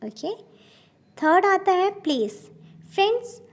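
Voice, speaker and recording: Indian woman teacher, fairly high voice, very slow and deliberate, mixes English words into Hindi. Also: very animated. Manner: slow, careful, addresses viewers as friends. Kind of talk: spoken English lesson in Hindi.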